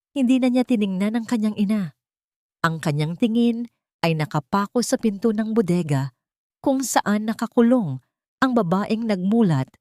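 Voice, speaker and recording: A woman's voice: mid-range voice, a little mellow, no room sound, studio quality. A woman reading a dramatic scripted story as an audiobook narrator.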